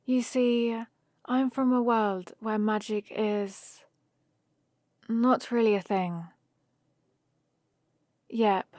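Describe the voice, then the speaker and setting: Unhurried young hero woman, acted by a woman, teasing. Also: mid-range voice, darkish tone, no room sound, studio quality, lightly acted. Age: young adult. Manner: teasing, unhurried, intimate one-sided conversation. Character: young human hero woman from Earth, playful, fond, teasing. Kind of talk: ASMR roleplay acting, addressed to the listener. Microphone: close studio-style microphone, dry.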